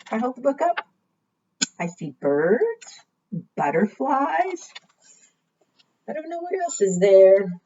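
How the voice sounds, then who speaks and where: Female storytime librarian, lively intonation, teacherly read-aloud for preschoolers, heard dully from a distance. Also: a little high. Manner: asks the young listeners frequent simple questions; expressive, deliberate teacherly delivery. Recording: muffled, distant microphone.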